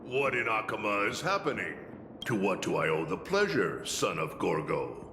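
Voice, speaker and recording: An actor playing a proud, gruff old warrior mentor in a video game, speaking in a stately, theatrical way with archaic phrasing. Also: male voice, on the low side, big pitch swings, moderate pace, bright, forceful tone, slight background noise, heavily acted. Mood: wry, commanding. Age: older. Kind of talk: scripted video game voice acting. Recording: long reverb, music underneath.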